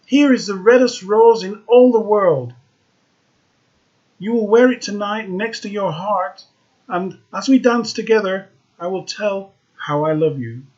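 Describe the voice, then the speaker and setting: A man's voice: sits high, unhurried, lo-fi sound, pitched above the performer's own voice. A man doing a petulant young student. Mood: eager. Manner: excited, then sulky and lecturing.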